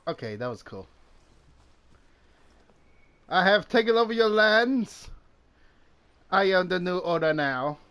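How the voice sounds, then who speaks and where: A man's voice: sits high, very animated, slow pace, long pauses, fairly bright tone. A man giving casual gameplay commentary with playful, mock-dramatic asides. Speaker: gaming video host. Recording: clearly noisy home recording.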